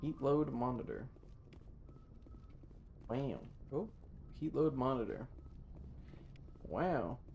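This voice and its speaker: A man's voice: mid-range voice, expressive, slow, vocal fry, long pauses, highs cut off. A man live streaming a game, chatting casually in a rambling, sweary way. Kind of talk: casual gaming stream chat. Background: game music.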